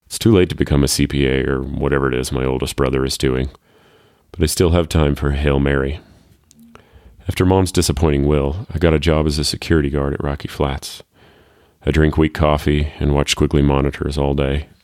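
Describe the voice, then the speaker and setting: A man playing a tired, wryly fatalistic parent working as a security guard narrates a short story. The voice is exceptionally deep and very dry in the recording. The delivery is measured and deadpan, full of resignation. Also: no echo. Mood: wry, resigned. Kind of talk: reading a first-person short story.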